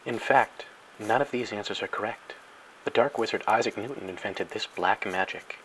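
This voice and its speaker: Deadpan male educational-film narrator, bright forceful voice. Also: on the low side, normal speed, low-quality recording. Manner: mock-serious, grandiose, deadpan. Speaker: narrator and host.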